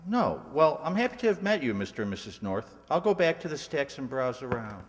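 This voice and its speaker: A man's voice: medium pitch, very animated, a little fast, lightly acted. A man acting a distracted, evasive young college professor in a radio play, hesitant and mumbling. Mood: distracted, polite. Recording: reverberant hall.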